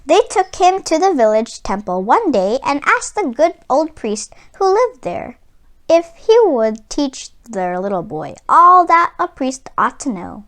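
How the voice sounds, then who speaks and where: Very high, animated woman reading a children's story. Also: unhurried. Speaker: storyteller for a children's read-aloud channel. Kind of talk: scripted children's story read aloud.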